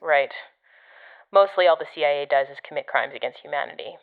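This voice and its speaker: A woman's voice: low-pitched, a little fast, phone-quality sound. A woman playing a jaded agency operative in an audio drama, dry, sarcastic and casual, heard through a radio filter. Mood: dry, sardonic. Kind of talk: audio fiction podcast acting.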